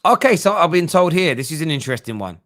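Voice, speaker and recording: Man, football livestream host, slightly high voice, bright forceful tone, blunt, repetitive, casual fan talk. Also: big pitch swings, fast talker. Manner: blunt, emphatic, repeats himself, rhetorical questions. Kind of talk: casual live fan talk, reading out viewer comments.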